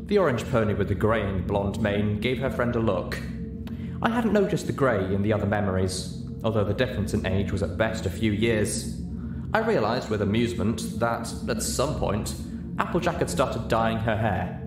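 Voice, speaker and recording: Male audiobook narrator, lowish voice, scripted first-person storytelling over clear music. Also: lively intonation, normal speed. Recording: roomy sound.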